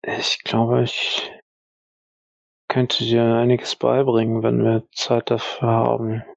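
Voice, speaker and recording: A man's voice: medium pitch, completely flat delivery, takes it slowly, creaky, dry recording, muffled recording. A man playing a stoned, weary young sniper in an audio drama, sluggish, mumbling and detached. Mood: dazed.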